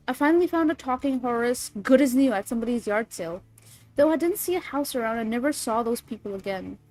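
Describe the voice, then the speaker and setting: A high-pitched woman with a cold, sniffling as she chats with her livestream viewers. She talks bubbly and loose, swears now and then, and reads creepy stories aloud. Soft music plays faintly behind her. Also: low-quality recording. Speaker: streamer reading creepypasta. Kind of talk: casual livestream chat and reading aloud.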